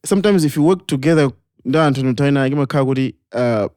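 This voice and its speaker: A man with a Malawian accent co-hosting a podcast in a relaxed, casual way, slipping local phrases into his English. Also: mid-range voice, normal speed, no echo, studio quality. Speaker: podcast co-host.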